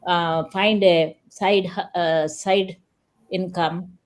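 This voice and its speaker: A woman's voice: lowish voice, fairly even intonation, drawn-out words. An older woman from India talking earnestly on an online panel, phrasing her points carefully with some hesitation. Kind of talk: earnest panel talk on a livestream. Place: remote online livestream call.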